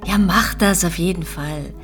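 An actress playing a tender, middle-aged policewoman and mother in a radio drama, speaking haltingly and naturally. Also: fairly low pitch, a bit bright, faint music behind. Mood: affectionate, wistful. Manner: halting, conversational.

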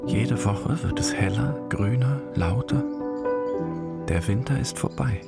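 An actor playing a tender secret lover, speaking softly and earnestly in a literary radio drama. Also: male voice, medium pitch, takes it slowly, gravelly. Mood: reflective. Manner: soft, earnest, intimate. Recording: loud music behind the voice.

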